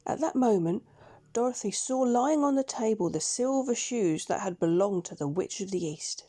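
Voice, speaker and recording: A woman reading a children's story aloud in a measured, expressive storytelling manner. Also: medium pitch. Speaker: storybook reader. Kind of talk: scripted children's story narration.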